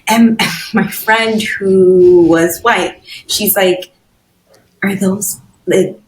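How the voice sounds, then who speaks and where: A woman's voice: mid-range voice, fairly even intonation, drawn-out words. Woman telling a story in a roomy space. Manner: storytelling, mixes in Spanish phrases. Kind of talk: casual podcast chat. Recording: roomy with faint background noise.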